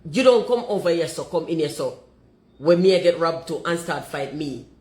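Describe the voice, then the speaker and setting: A Jamaican woman with a deep voice, talking forthrightly and emphatically and slipping into patois. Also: lively intonation, moderate pace, darkish tone, reverberant. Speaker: commentator.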